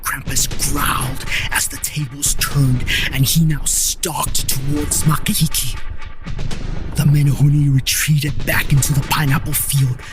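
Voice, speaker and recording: A man's voice: mid-range voice, level tone, unhurried. A man narrating a scripted, dramatic story as a podcast storyteller. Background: music under the narration.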